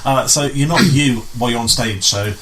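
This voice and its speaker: A man's voice: mid-range voice, moderate pace, fairly bright tone, poor audio. A man guest chatting in a radio interview, explaining things and rephrasing as he goes. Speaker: guest, amateur pantomime director. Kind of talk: casual radio interview chat.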